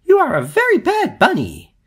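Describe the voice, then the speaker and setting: A man's voice: extremely high pitch, huge pitch swings, moderate pace, voice pushed up, heavily acted. A man voicing a mother bunny in a storybook, emphatic and motherly. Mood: scolding. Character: mother bunny, scolding yet reassuring.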